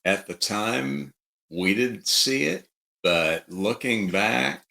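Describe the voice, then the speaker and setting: A middle-aged man from Dallas, Texas, co-hosting a podcast in casual conversation with a halting, reflective manner. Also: lowish voice, animated, gravelly, bright, forceful tone. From Dallas, Texas, United States. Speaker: podcast co-host.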